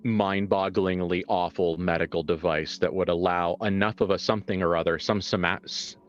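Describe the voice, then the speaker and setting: Low-voiced man narrating haltingly, slightly muffled. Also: steady tone. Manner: halting, repeats and reworks phrases as he improvises. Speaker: game master. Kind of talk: improvised tabletop horror narration, conversational. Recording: muffled lo-fi sound, music behind. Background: background music.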